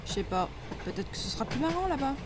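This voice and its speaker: A woman's voice: high-pitched, big pitch swings, quick pace. A woman playing a blasé, playful young oddball who finds danger amusing, in an audio drama. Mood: nonchalant. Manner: flippant, playful. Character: blasé thrill-seeking young oddball traveler, amused by danger.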